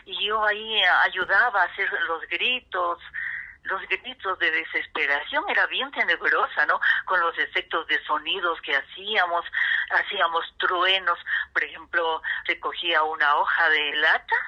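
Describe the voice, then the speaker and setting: A woman in her seventies with an Ecuadorian highland accent, reminiscing as an interview guest in an emphatic, repetitive way. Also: moderate pace, lo-fi sound. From Ecuador, grew up in Riobamba. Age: 70s. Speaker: interview guest, veteran radio actress.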